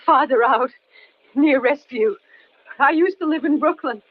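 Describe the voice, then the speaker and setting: A desperate stranger in an old radio thriller. Her voice is extremely high and wailing, pleading and unsettling. The recording is dull, with faint noise. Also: a little slow. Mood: haunted, uneasy. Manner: breathless, wailing pleas and ominous remarks. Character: frantic stranded woman, unsettling, pleading in a storm. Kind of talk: 1940s-50s radio thriller acting.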